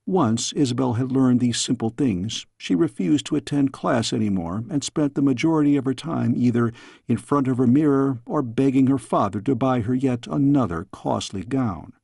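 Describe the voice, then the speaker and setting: A man reading a story as an audiobook narrator, in measured, expressive storytelling style. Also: medium pitch, vocal fry, slightly rough, no echo, studio quality.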